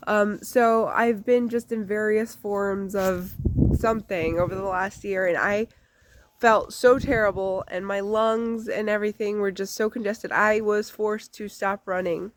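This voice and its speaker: A woman's voice: medium pitch, fairly even intonation, unhurried, a little hiss or hum. A woman giving a candid, casual life update to her channel, chatty with lots of fillers, her voice congested from a recent flu. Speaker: vlogger.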